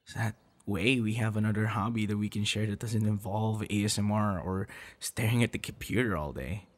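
A young man playing a playful, affectionate boyfriend in an ASMR audio roleplay, with a teasing, encouraging, slightly halting delivery. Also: lowish voice, fairly even intonation, natural voice. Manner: teasing, encouraging, halting with soft laughs and gasps. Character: playful, affectionate young male voice actor on a date.

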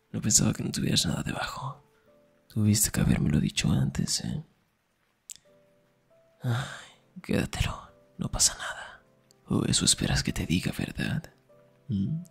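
A young man performing an intimate ASMR roleplay as a teasing, affectionate best friend, murmuring in a breathy, husky whisper. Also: on the low side, huge pitch swings, measured pace, long pauses, faint music behind.

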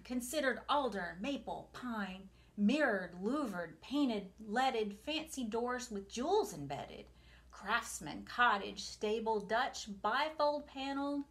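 A woman in her forties reading a picture book aloud to children in an expressive, deliberate way, with asides to her young listeners. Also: on the high side. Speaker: storytime reader for a museum family programme. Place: small home room, indoors.

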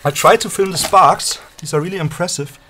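A man casually presenting a hands-on electronics demonstration in a halting, explanatory way, recorded on a handheld camera in a room at home. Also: high voice, pushed and bright, a little hiss or hum. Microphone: handheld camera held by the speaker.

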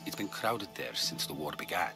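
An actor playing a brusque, learned but compassionate ancient Greek doctor in a video game, with a didactic, brisk manner. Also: male voice, very deep voice, lively intonation, gravelly, exaggerated voice. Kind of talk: video game dialogue acting. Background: music.

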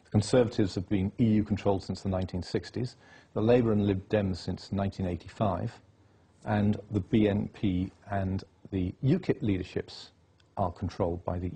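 Husky-voiced man lecturing insistently. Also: on the low side, steady tone, moderate pace, warm tone. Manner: insistent, lecturing, piles up dates and facts. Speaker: interview guest. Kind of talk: political interview.

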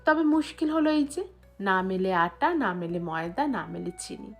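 A woman's voice: medium pitch, lively intonation, moderate pace, soft background music. A woman reading a literary short story aloud as a scripted narration.

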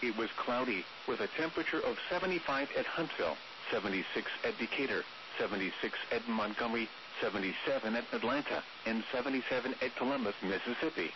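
A man's voice: mid-range voice, fast. Muffled, noisy male weather radio announcer. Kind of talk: scripted weather forecast and observations readout. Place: weather radio broadcast played through a streaming app.

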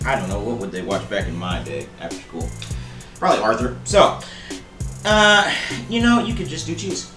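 A man in his thirties hosting a casual home cooking show, joking with viewers and calling them baby and buddy, in a home kitchen. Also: medium pitch. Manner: playful, jokey, calls viewers baby and buddy. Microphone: camera mic from a few feet away. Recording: roomy kitchen with music behind.

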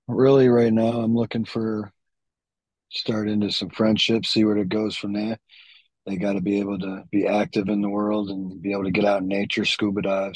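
A man's voice: fairly low pitch, no room sound, poor audio. A man in his early forties speaking bluntly and informally as a guest on a video call. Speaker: dating show guest. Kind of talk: casual self-introduction.

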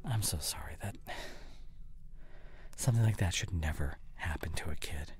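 A young man playing an anxious, regretful boyfriend in an intimate audio roleplay, speaking haltingly with sighs and whispered words. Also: deep voice, soft background music, lightly acted. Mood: tender concern. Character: regretful, anxious boyfriend tenderly consoling his girlfriend.

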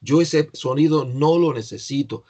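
A man's voice: on the high side, normal speed, dark tone, slightly rough. An older man teaching English in an online class, patiently explaining pronunciation to a student over a video call.

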